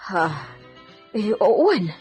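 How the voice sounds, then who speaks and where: A woman's voice: mid-range voice, big pitch swings, very slow, darkish tone, slightly rough, soft background music, full character voice. A woman playing an outspoken, protective maidservant in a radio drama, speaking with an Ilocano accent. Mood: indignant.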